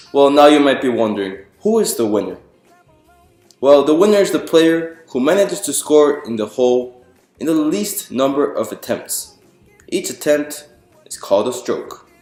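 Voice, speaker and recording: A man with a mid-pitched voice presents a picture book aloud in a reverberant room. He explains things step by step and addresses his listeners directly, as at a storytime. Music is heard along with his reading. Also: a little mellow. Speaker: author reading own picture book to an audience. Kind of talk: storytime read-aloud to an audience.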